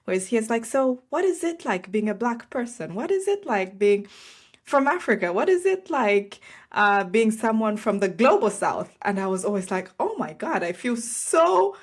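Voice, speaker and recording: A woman's voice: fairly high pitch, very animated, moderate pace. A woman from Zambia hosting a podcast interview, agreeing with her guest and asking questions in a casual conversational way. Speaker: podcast host.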